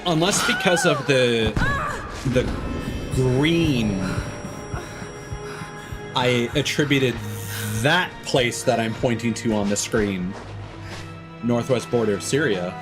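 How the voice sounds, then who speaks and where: A man's voice: mid-range voice, slow pace, long pauses. A man doing casual, sweary gameplay commentary as a streamer, with theatrical delivery. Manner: animated, sweary, theatrical outbursts. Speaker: game streamer. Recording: game music behind voice.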